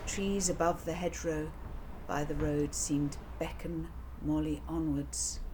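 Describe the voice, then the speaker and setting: A woman reading a children's novel aloud in a measured, expressive storytelling style. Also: low voice. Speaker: author reading her own children's novel. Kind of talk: audiobook storytelling narration. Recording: clear background noise.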